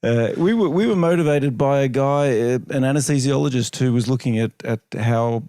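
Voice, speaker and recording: Australian male guest, soft-edged mellow tone, mid pitch, measured delivery in a casual interview. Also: smooth, no room sound, studio quality. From Melbourne, Australia. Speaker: interview guest, chiropractor and researcher.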